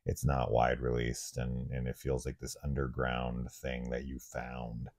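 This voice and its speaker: A man's voice: extremely low pitch, monotone, measured pace, a little mellow, no room sound, clean studio sound. A man co-hosting a horror review show, talking casually and conversationally about films. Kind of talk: casual conversational film discussion.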